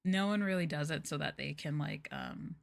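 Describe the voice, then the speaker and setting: A woman's voice: sits low, moderate pace, creaky. Giggly, rambling woman streamer. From United States. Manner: rambling, filler-heavy with lots of "like"; giggly and playful. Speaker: VTuber streamer. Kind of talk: casual chat stream.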